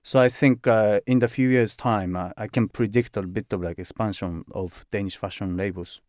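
A man speaking as a fashion director in a conversational interview, halting and full of "like" and "uh" fillers, often restarting words. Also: lowish voice, fairly even intonation, darkish tone, dry recording, studio quality.

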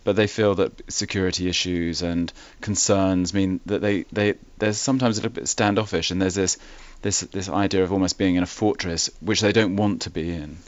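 A man's voice: low voice, steady tone, moderate pace, few pauses, no room sound. A man interviewing a guest, asking long, carefully framed questions. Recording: dry, dull lo-fi sound.

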